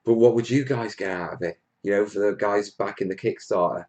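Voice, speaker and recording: A man's voice: on the low side, fairly quick, darkish tone. A man from Wales hosting a casual live Q&A stream, chatting to viewers in a blunt, jokey, matey way. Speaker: host of a hobby livestream.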